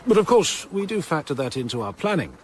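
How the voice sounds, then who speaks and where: A man's voice: fairly high pitch, sing-song, fairly quick, a little hiss or hum. An actor playing an affable, slightly flustered male festival organiser in a radio drama, British, hesitant and polite.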